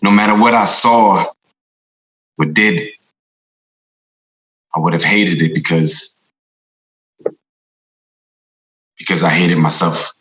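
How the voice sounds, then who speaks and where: An actor with an American accent playing a guilt-ridden, hard-drinking former Marshal and veteran, brooding and halting through a confessional dramatic monologue. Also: male voice, low voice, steady tone, fairly bright tone. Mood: bitter, remorseful. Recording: roomy echo under music.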